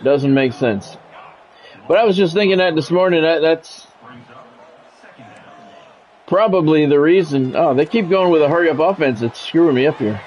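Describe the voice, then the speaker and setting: A man's voice: a little high, moderate pace, long pauses, darkish tone, a little hiss or hum. A man livestreaming a game, mixing casual, opinionated chat with sports play-by-play commentary. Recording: dull lo-fi audio, music behind. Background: music.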